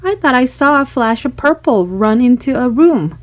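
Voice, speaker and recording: A woman narrating a children's storybook in an expressive read-aloud style. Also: high-pitched, normal speed.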